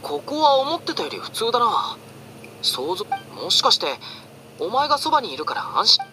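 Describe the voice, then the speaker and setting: A man's voice: very high-pitched, very animated, moderate pace, pushed and bright, a little hiss or hum, full character voice. An actor playing a cheerful, easygoing teenage boy adventurer in an anime game, with a friendly, upbeat manner. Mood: curious, unfazed. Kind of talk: Japanese anime game voice acting.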